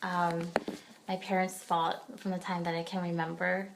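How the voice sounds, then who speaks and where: A woman from Pennsylvania speaks as a podcast guest, her voice low and her pitch held fairly level. She talks hesitantly, stopping often and filling gaps as she tells her own story. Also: level tone, gravelly, poor audio. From Pennsylvania, United States. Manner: hesitant, many pauses and fillers. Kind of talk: personal testimony in a podcast interview.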